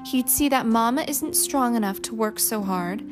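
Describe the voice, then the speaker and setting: A woman's voice: fairly high pitch, a little slow, over music, close to an everyday voice. A woman voicing a stubborn, outspoken young city girl in an audiobook, sincere, emphatic and defiant. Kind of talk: audiobook character dialogue.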